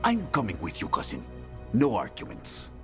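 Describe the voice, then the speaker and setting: An actor playing a suave, proud assassin in a video game, with a theatrical, pointed delivery. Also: male voice, sits low, huge pitch swings, normal speed. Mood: teasing, charming. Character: suave, proud male assassin with wounded pride. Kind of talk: dramatic video game acting. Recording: game cutscene with loud background music.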